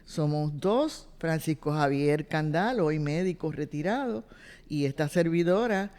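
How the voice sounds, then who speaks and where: A woman in her seventies with a Puerto Rican accent, recalling her family in an oral history interview, with unhurried fillers. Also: deep voice, expressive, darkish tone. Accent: Puerto Rican Spanish. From Puerto Rico. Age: 70s. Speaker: interviewee, retired cultural director. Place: boardroom.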